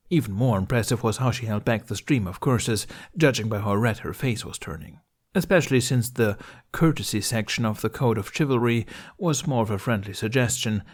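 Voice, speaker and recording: A man reading a scripted story aloud as its narrator. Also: fairly low pitch. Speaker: amateur story reader.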